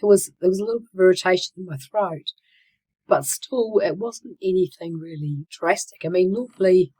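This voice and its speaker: A woman in her sixties talking casually to her channel, hoarse and croaky from a cold, with many fillers and restarts, sometimes dropping to a whisper. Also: fairly low pitch, moderate pace, mellow, poor audio. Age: 60s. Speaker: channel host. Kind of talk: casual vlog talk.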